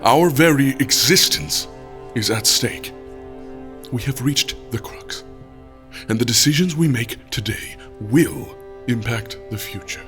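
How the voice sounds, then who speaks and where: A man playing a grave, resolute leader of outcasts in an audio drama, speaking in deliberate, solemn oratory. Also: medium pitch, natural voice. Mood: solemn, defiant. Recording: dramatic music behind the voice.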